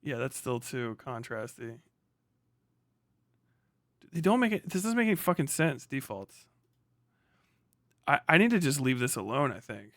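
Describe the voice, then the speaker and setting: A man's voice: medium pitch, very animated, unhurried, pauses often, fairly bright tone, dry recording. Dry-sounding man muttering on a livestream. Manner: muttering to himself, sweary. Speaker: livestream host. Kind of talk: casual unscripted livestream chat.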